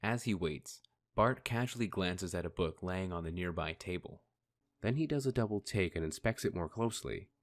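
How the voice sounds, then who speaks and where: A man narrating a fan radio play in a measured storytelling manner. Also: sits low, animated. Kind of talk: scripted narration.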